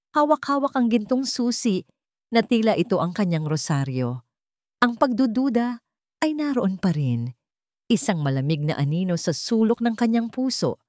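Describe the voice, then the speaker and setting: A woman's voice: medium pitch, a little mellow, no echo, studio quality. A woman reading a scripted dramatic story as its narrator.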